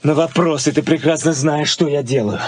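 An actor playing an earnest, stubborn young fiancé, speaking in intense short phrases in a radio play. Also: male voice, on the high side, fairly even intonation, moderate pace, a little mellow, close to an everyday voice. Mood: determined. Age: young adult. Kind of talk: Soviet radio play acting. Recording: noisy 1980s radio play recording.

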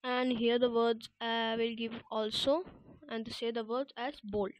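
Female tutorial presenter, high voice, halting self-correcting delivery, dry close-miked sound. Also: steady tone, no echo, poor audio. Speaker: app-building tutorial presenter. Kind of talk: unscripted step-by-step screen tutorial.

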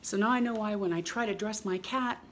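A middle-aged woman hosting storytime, reading to children in an expressive, drawn-out way with playful emphasis. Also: mid-range voice, slight background noise. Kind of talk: reading a picture book aloud to children. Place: indoors in a home room.

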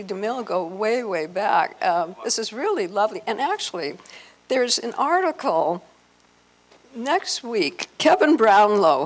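A middle-aged woman informally presenting to an audience on a handheld microphone, halting as she searches for words. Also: mid-range voice. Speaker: event presenter. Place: hotel conference room with audience.

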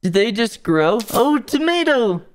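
A woman's voice: fairly high pitch, very animated, a little slow. A woman voicing an eager, supportive young stick-figure cook in an amateur cartoon dub, casual and youthful.

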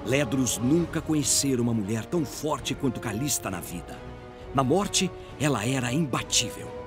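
A man's voice: medium pitch, animated, normal speed. A man with a Brazilian accent giving dramatic scripted narration of a fantasy audio drama. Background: music.

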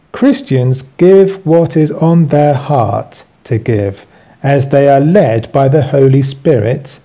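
A man reading a scripted religious lesson aloud as a teacher, in measured phrases. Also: a little high, dark tone. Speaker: Bible teacher.

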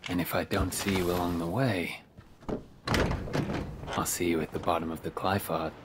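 A man's voice: deep voice, fairly even intonation, heavy background noise, lightly acted. An actor playing a brooding, enigmatic young man in a video game, composed and formal in his delivery. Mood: calm, detached. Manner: deliberate, formal, understated. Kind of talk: English-language video game cutscene acting. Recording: loud music behind the voice.

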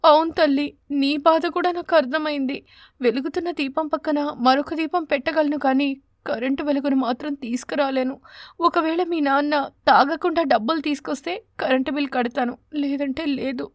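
A woman voicing a poor, patient mother sparrow in an animated story, soothing and plaintive. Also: high-pitched, moderate pace, no room sound, clean studio sound, natural voice. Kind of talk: animated bedtime story character.

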